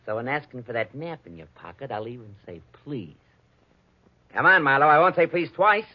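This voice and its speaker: An actor playing a menacing little thug in a 1940s radio drama, smug and mock-polite, with a husky voice. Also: male voice, mid-range voice, sing-song, moderate pace. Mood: smug menace. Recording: dull vintage radio recording.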